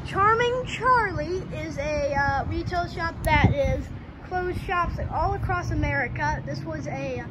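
Clear street noise surrounds a woman recording outdoors on a handheld phone. Her voice is high. She hosts a casual walking vlog, rambling and thinking out loud as she goes. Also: measured pace. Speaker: host of an abandoned retail vlog. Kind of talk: casual walk-around vlog commentary. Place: outdoors on a shopping street sidewalk.

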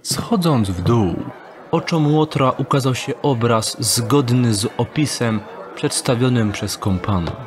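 A man's voice: medium pitch, lively intonation, measured pace, music in the background. A man narrating a fantasy audio drama from a script as storyteller.

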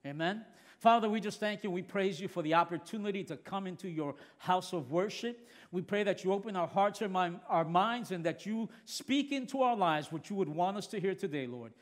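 An earnest middle-aged male preacher of Puerto Rican heritage, excitable and quick to restart his sentences. His voice sits high for a man. Long reverb from the large church hall trails behind him. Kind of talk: sermon.

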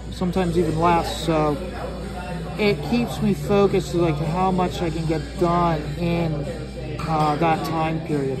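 A man talking casually and ramblingly as he draws, halting often and repeating his phrases. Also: fairly high pitch, poor audio. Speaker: artist hosting a drawing livestream or video. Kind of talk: casual rambling talk while drawing.

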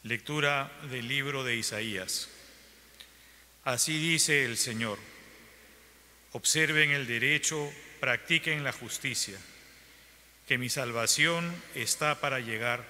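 A man reading scripture aloud at Mass as lector, in a measured, solemn way. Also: mid-range voice, bright, forceful tone. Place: large church. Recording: church broadcast with long reverb.

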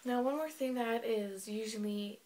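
A woman's voice: on the high side, normal speed, darkish tone, slight background noise. A teenage girl casually showing off her things in a rambling webcam vlog from her bedroom. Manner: chatty, rambling with fillers. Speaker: vlogger. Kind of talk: casual show-and-tell vlog. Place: small bedroom indoors. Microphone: built-in webcam mic.

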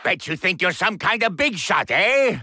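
An actor playing a loud, boastful, hot-tempered greedy villain in a video game cutscene. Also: male voice, sits high, sing-song, moderate pace, noisy background. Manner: boastful, exaggerated.

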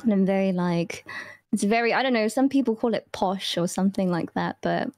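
A woman's voice: darkish tone, no echo, studio quality, natural voice. A woman putting on a mock posh British voice. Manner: slow, lowered, over-enunciated.

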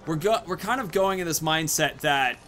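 A man's voice: fairly high pitch, animated, moderate pace, fairly bright tone, a little hiss or hum. A man in his thirties giving casual let's play commentary, thinking aloud as he explains his choices. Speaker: gaming video host. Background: game music.

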